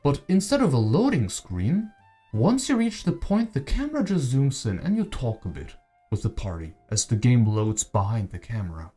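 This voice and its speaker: A man's voice: medium pitch, dark tone. A man narrating a video essay on games as its creator, conversational and given to wry asides. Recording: voice-over with music behind. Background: background music.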